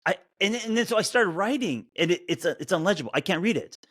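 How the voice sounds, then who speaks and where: A man in his fifties co-hosting a podcast, chatting casually in an animated, rambling way with many restarts. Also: high voice, quick pace, no room sound, clean studio sound. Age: 50s. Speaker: podcast co-host. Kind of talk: casual chat.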